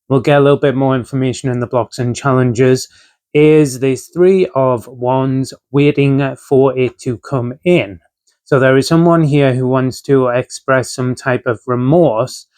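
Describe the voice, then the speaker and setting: A man talking through a casual tarot reading, his voice still rough from a chest infection, relaxed and filled with ums and uhs. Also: mid-range voice, a little slow. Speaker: tarot reader.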